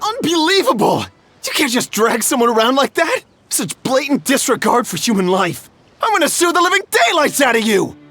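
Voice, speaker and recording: A melodramatic, pleading middle-aged swindler. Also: male voice, very high voice, sing-song, normal speed, bright, forceful tone, faint music behind, full character voice. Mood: indignant outrage. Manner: melodramatic, stammering, wheedling. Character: shifty, pleading middle-aged male gambler posing as desperate father. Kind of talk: dramatic video game voice acting.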